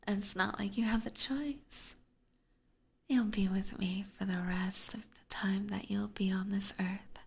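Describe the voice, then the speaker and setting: A breathy, whispered voice with a soft crackle, a woman acting as a clingy yandere vampire catgirl. She speaks in a doting, menacingly sweet way, lingering on her words. It is an intimate ASMR roleplay, close on a binaural mic. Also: medium pitch. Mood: coaxing, possessive. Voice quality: breathy, mostly unvoiced whisper. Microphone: close binaural ear microphone.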